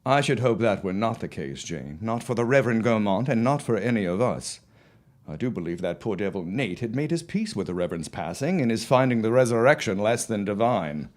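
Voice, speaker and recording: A man acting a confident, dismissive farmer husband of the early 1900s in an audio drama, with formal, deliberate phrasing. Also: on the low side, animated, a little mellow, natural voice. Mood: wry, reflective.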